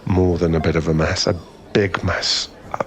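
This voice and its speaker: A man playing a flustered, smitten young man in an ASMR boyfriend roleplay, halting and stammering as he rambles nervously to a crush. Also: sits low, steady tone, close to an everyday voice. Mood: embarrassed, grateful.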